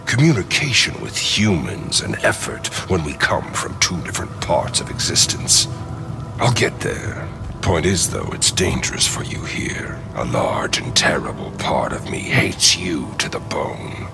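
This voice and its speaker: An actor playing a bitter, sardonic otherworldly creature in a video game, with a breathy rasp and a halting, cryptic manner. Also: male voice, extremely low pitch, very animated, music in the background, exaggerated voice. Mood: brooding, grudging. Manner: slow, halting, cryptic, dry humour. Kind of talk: video game cutscene acting.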